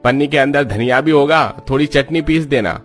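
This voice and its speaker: A man's voice: medium pitch, moderate pace, natural voice. Man acting a plain-spoken village husband, music underneath. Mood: matter-of-fact. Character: hard-working poor village husband, plain-spoken, easily exasperated.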